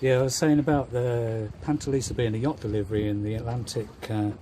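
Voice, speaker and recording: A man hosting a sailing vlog, relaxed, interviewing a crewmate with easy backchannels. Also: mid-range voice, quick pace, slight background noise. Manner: relaxed, frequent "yeah" backchannels. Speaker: vlogger, yacht delivery crew. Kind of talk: casual vlog narration and interviewing. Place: on deck of a yacht at sea, outdoors.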